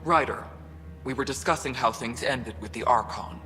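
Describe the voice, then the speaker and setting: An actor playing a stern, skeptical colony official with a measured, pointed delivery in a sci-fi video game. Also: male voice, mid-range voice, bright, forceful tone, lightly acted. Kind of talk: dramatic video game cutscene acting. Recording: long hall reverb, faint music behind.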